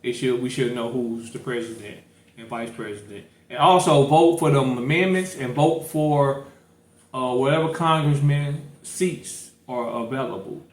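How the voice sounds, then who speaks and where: A man's voice: mid-range voice, unhurried. A man with a St. Louis accent co-hosting a casual podcast, talking loosely and joking. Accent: St. Louis, Missouri. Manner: loose, joking, urging listeners. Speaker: podcast co-host. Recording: echoey room with faint noise.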